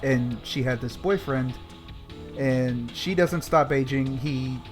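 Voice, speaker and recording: A man's voice: mid-range voice, takes it slowly, faint music behind. A man co-hosting a show, retelling a story in a casual, wry, colloquial way. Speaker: podcast co-host.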